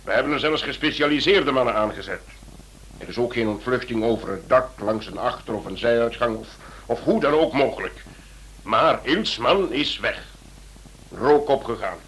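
A man playing a senior police chief in a radio play, speaking in a measured, authoritative way. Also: mid-range voice, fairly bright tone, slight background noise, close to an everyday voice, poor audio. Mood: rueful, insistent.

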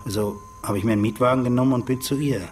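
An actor playing a self-important, combative middle-aged politician in a radio drama, with pompous, declamatory delivery. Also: male voice, mid-range voice, fairly even intonation, rapid-fire, a little mellow, soft background music, lightly acted. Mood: rattled. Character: self-important married male nationalist politician, combative and aggrieved. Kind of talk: German radio drama acting.

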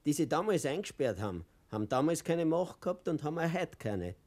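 An actor playing a stubborn, indignant former political prisoner in a German radio play, husky and emphatic. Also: male voice, a little high, very animated, brisk, a little mellow. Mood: wry, conversational. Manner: impassioned, emphatic.

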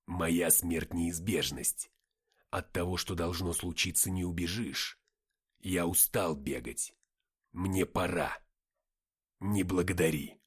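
A man voicing a proud, taunting half-angel warrior in an audiobook, with slow, emphatic delivery. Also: deep voice, steady tone, breathy edge, voice pushed down, full character voice. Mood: weary, resigned. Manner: emphatic, slow, drawn-out declarations.

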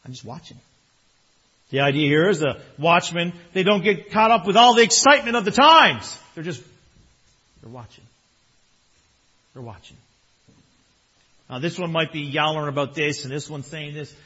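Man pastor, somewhat high and husky, strained voice, conversational sermon, muffled recording. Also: lively intonation, moderate pace, pauses often. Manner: conversational preaching, swings between soft and loud. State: slightly strained voice.

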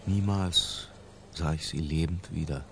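An actor playing an imprisoned man recalling an obsession in a hushed, lingering literary radio drama narration. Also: very deep voice, measured pace, background noise. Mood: sombre, reflective. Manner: hushed, lingering, sometimes falling to a whisper. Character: Humbert, imprisoned man confessing his obsessive boyhood love.

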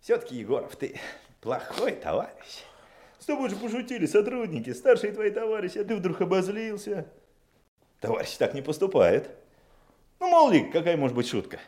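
A man's voice: high voice, very animated, a little fast, warm tone, echoey room. A man playing a wheedling, blustering young prankster detective in a Soviet radio drama, with a whiny, cajoling manner. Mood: reproachful.

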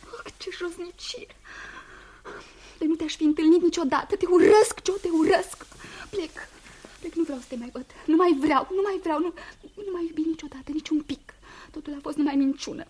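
Passionate young woman in love, high soft mellow voice, breathy radio drama style. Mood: hurt, furious. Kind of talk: Romanian radio theatre acting.

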